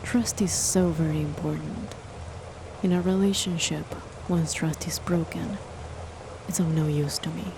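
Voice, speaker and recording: A woman playing a controlling, sinister chemist who is the listener's partner, in an ASMR horror roleplay, talking softly in a half-whisper, politely but with quiet threat. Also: low voice, measured pace. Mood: quietly menacing. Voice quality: soft, whispery delivery. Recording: steady rain noise behind the voice. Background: rain.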